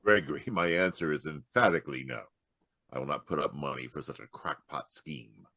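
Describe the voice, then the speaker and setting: A man plays a stern, wealthy elder brother in an old-time radio drama. The voice is deep and forceful, pompous and dismissive, with dramatic swings in pitch. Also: animated, brisk, vocal fry, exaggerated voice. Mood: scornful. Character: stern, scornful rich older brother, foundation head. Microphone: close home microphone on a video call.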